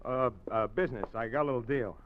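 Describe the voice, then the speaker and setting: An actor playing a conflicted con man in a 1940s radio drama, speaking in a nervous, halting way. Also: mid-range voice, heavy background noise, close to an everyday voice. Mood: tense. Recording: dull old radio broadcast.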